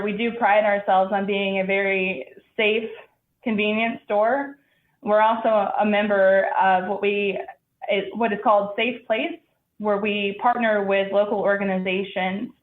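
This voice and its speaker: A woman's voice: mid-range voice, phone-quality sound. Woman presenting in an echoey, thin-sounding room. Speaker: applicant representative for a store chain. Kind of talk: presentation and answers at a public hearing.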